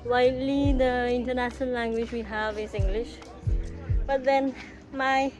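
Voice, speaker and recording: A young woman whose first language is Nepali talks easily and casually, with lots of fillers, in a fairly high voice. She records on a phone, outdoors by the beach and inside a restaurant. Loud music plays under her voice. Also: fairly even intonation, a little slow. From Sikkim, India. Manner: chatty and easygoing, with many fillers. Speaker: vlogger. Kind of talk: casual travel vlog narration. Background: background music.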